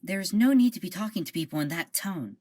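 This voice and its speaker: A woman's voice: lowish voice, animated, fairly quick, darkish tone. A woman voicing a hesitant, polite young officer who trips over her words in an amateur audio drama.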